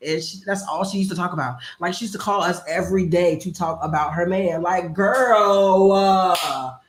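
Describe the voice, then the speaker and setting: A man's voice: high-pitched, steady tone, normal speed. A man in his twenties chatting in a group, playful and teasing, full of slang. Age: 20s. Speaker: podcast co-host. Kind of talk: casual group chat. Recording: echoey room with voices behind.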